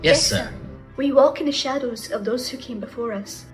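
A woman's voice: a little high, moderate pace, loud music behind the voice, close to an everyday voice, low-quality recording. A woman playing an earnest young space cadet, narrating a dramatic science fiction trailer. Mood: solemn, earnest.

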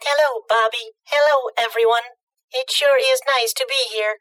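A man's voice: extremely high pitch, steady tone, moderate pace, sharp tone, poor audio. A man voicing a prim, scolding motherly woman, the phone system itself, in a comic radio play. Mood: sweet, reproving. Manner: sweetly reproving.

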